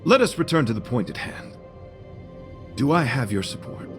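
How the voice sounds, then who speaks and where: A man's voice: mid-range voice, very animated, normal speed, natural voice. A man playing an earnest, courtly knight devoted to beauty in an English game dub, speaking in a formal, florid, theatrical and solemn way. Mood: courteous, sincere. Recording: music behind.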